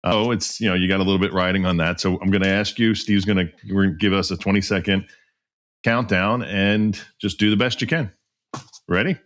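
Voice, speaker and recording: Deep-voiced playful podcast host man. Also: fairly even intonation, fairly quick, a bit bright. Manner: upbeat, playful, chuckling. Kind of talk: casual podcast interview, hosting a quiz.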